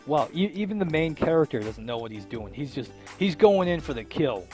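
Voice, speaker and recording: A man's voice: fairly high pitch, very animated, a little fast, a little mellow. A man commentating casually on a game as he plays, reading bits aloud and tossing in joking reactions. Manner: joking asides and exclamations over the story. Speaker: let's play host. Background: game music with plucked guitar.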